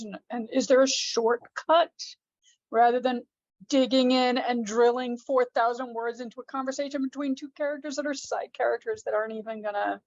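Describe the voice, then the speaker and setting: A woman's voice: on the high side, no echo. A woman taking part in a workshop, asking questions in a casual, conversational way over dull, lo-fi audio.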